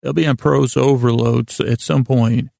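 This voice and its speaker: A man hosting a sleep podcast with a lulling, languid bedtime monologue, meandering and halting, often stammering into false starts. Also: mid-range voice, fairly even intonation, dark tone, no echo, clean studio sound. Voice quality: gravelly, creaky. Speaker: podcast host. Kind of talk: rambling bedtime monologue to help listeners sleep.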